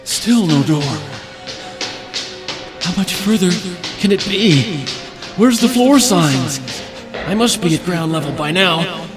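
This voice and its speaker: Over prominent music, a young stick-up man from an audio thriller. His voice sits a bit high and he takes his time, talking tough and muttering to himself. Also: expressive, takes it slowly. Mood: breathless panic. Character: cocky young male casino robber, turning panicky. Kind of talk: audio drama acting. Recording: dramatic music behind.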